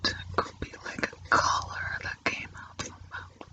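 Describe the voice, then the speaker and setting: A man whispering breathily in ASMR style, musing haltingly to himself as he narrates his drawing close to the mic. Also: bright, forceful tone. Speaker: artist narrating own drawing. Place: indoors at a drawing easel.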